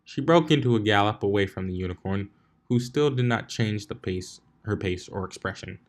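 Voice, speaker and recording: A man with a fairly low voice reads fiction aloud. He works as the narrator, delivering scripted prose between the characters' lines. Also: moderate pace. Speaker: fan fiction reader, narrator.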